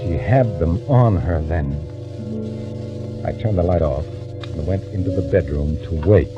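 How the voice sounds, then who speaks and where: Nervy American swindler, low voice. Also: male voice, measured pace, lo-fi sound. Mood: nervous, furtive. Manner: tense, dramatic, confessional. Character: desperate, nervy male swindler turned killer, confessing. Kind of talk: 1940s radio thriller acting, first-person narration. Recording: old band-limited radio recording with music.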